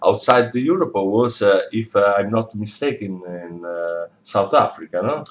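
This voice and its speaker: A man's voice: fairly low pitch, moderate pace. A middle-aged man with an Italian accent interviewing a friend at his home, searching for words in English, recorded on a webcam. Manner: hesitant in English, many fillers and restarts. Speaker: interviewer, host. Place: his home living room. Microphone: webcam at desk.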